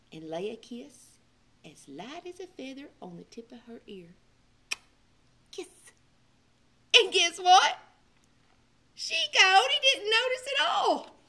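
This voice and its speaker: A woman storyteller reads for children in a high, bright voice. She is theatrical and emphatic, taking her time and swooping widely in pitch, sometimes dropping to a hush. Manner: theatrical, emphatic, with hushed dramatic drops. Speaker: librarian storyteller. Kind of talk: animated children's storybook narration.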